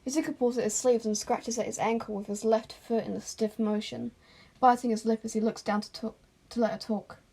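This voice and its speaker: A woman's voice: a little high, fairly even intonation, a little fast, dark tone, husky. A woman narrating fan fiction as an amateur, in an informal, self-conscious way with retakes and asides. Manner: informal, self-conscious, often stumbles and re-says lines.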